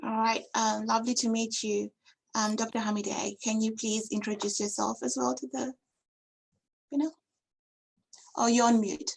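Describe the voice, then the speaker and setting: A woman's voice: fairly high pitch, flat delivery, moderate pace, creaky, long pauses, low-quality recording. A woman hosting an online panel, introducing speakers over a video call. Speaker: webinar moderator.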